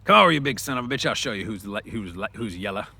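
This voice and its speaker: A man's voice: fairly low pitch, animated, fast, creaky, bright, forceful tone, slightly rough, full character voice. A man voicing a hot-tempered little ranch bully with a belligerent, taunting way of talking, in a storybook reading. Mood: furious taunting.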